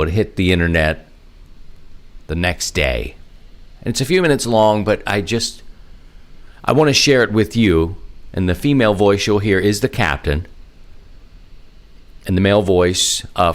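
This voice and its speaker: A man hosting a podcast, talking casually and conversationally in halting phrases with pauses. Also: low voice. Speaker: podcast host. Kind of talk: casual solo podcast talk.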